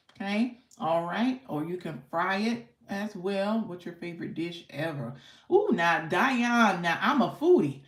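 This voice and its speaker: A fairly low-pitched American woman in a roomy, echoey recording, hosting a casual livestream. She chats effusively with viewers in folksy slang, often doubling up her thanks and affirmations. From United States. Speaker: livestream host.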